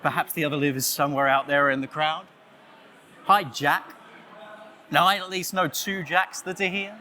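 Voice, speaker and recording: A man with an Australian accent giving a playful conference talk, loudly joking and chatting with the audience. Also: a little high, fairly even intonation, normal speed, a little hiss or hum. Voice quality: loud voice. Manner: jokey, playful, chatty with the crowd. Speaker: conference speaker. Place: conference stage, audience on headphones.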